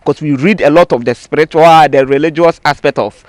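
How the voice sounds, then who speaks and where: A man's voice: on the high side, brisk, dry recording. A man with a Ghanaian accent and Twi as his native language, explaining in an interview in an emphatic, repetitive teaching manner. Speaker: babalawo spiritual practitioner, interviewee.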